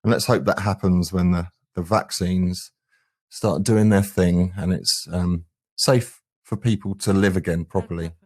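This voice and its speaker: A man with a low voice talks casually in an interview. He sounds earnest and keen, like a band frontman explaining his work. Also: fairly even intonation, unhurried, a little mellow. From England, UK. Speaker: band singer and guitarist, guest.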